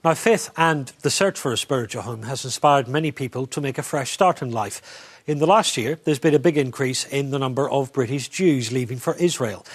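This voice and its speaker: A man presenting a TV feature, reading scripted narration in a smooth, even newsreader manner. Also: medium pitch.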